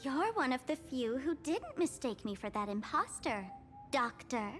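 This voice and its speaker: A woman's voice: sits high, very animated, unhurried, a bit bright, faint music behind, full character voice. A woman voicing a mischievous, self-assured young female trickster in a game, coy and mocking in a theatrical way. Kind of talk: video game voice acting.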